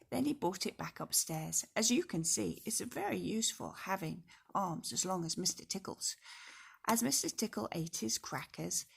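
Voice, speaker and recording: A woman reading a children's storybook aloud in an expressive way, speaking directly to young listeners. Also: medium pitch, creaky, breathy edge. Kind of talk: storybook read-aloud with casual asides.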